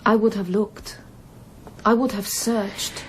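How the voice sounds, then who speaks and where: A woman playing a warm, eager, persistent young woman, coaxing and earnest, in a radio drama. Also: medium pitch, little pitch movement, slow, a little hiss or hum, lightly acted. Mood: pleading. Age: young adult.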